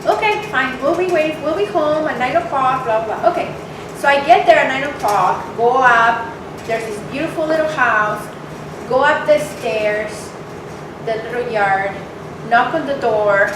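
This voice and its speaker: A woman's voice: sits high, a little slow. Woman speaker from Mexico, echoey distant hall. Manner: candid, personal, animated retelling. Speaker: guest speaker. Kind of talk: storytelling talk to an audience. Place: event hall with audience. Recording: echoey hall, mic from far off, noisy.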